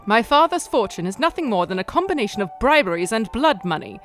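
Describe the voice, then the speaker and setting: Expressive, resolute young heiress. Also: female voice, a little high, quick pace, faint music behind. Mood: determined. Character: young aristocratic heiress, resolute, coolly determined debutante. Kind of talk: radio drama acting.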